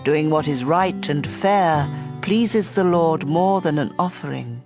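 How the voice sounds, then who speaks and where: A narrator reading scripture in a measured style for a dramatized audio Bible. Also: mid-range voice. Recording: music bed under voice. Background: instrumental music.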